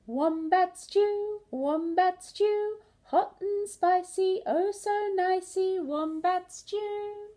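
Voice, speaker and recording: A woman's voice: very high-pitched, very slow, hardly any pauses, mellow, pitched above the performer's own voice, heavily acted. A woman voicing a greedy, boastful male dingo cook in a children's picture book, gloating and sing-song. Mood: gleeful singing.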